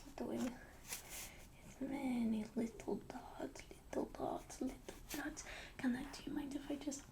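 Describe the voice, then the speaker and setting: A woman playing a pretentious, eccentric female artist in a whispered roleplay, with a put-on foreign accent that slips, speaking in a haughty, deadpan way. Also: a little high, close to an everyday voice.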